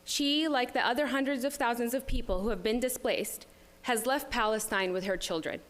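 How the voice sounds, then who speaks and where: A woman's voice: a little high, normal speed. A middle-aged woman delivering a prepared public comment speech to a council as a local resident. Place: indoor public meeting room at a community center. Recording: reverberant meeting hall.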